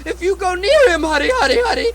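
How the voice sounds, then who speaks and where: A woman's voice: very high voice, sing-song, fast talker, a loud crowd. A woman playing a terrified, superstitious fisherman in a puppet show, stammering and breaking into a repeated chant. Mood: fearful, distraught. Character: terrified, ghost-haunted male fisherman, frantic and superstitious. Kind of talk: theatrical puppet-show acting.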